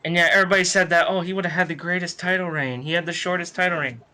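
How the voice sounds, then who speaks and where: A man's voice: high-pitched, steady tone, racing, bright, forceful tone. A man giving opinions casually on a sports podcast, blunt and emphatic in how he talks. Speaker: wrestling podcast panelist.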